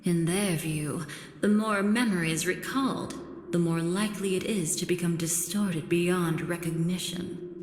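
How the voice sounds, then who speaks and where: A woman's voice: fairly low pitch, lightly acted. Woman voicing an enigmatic, teasing woman in echoing reverb. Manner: languid, coy, theatrical musing. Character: enigmatic, teasing, elegant young woman, coolly playful. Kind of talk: scripted video game voice acting. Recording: roomy long reverb with music behind.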